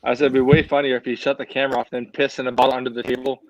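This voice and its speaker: A man's voice: medium pitch, level tone, rapid-fire, lo-fi sound. A man on a video call chatting casually over beers, blunt and crude with a sardonic edge.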